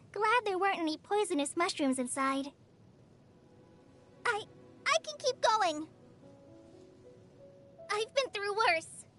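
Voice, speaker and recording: A woman's voice: very high-pitched, bright, forceful tone, exaggerated voice. A woman voicing an earnest, easily flustered teenage girl, a trainee forest ranger in a video game, with a hesitant, eager manner. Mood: earnest, determined. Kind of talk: video game character voice lines.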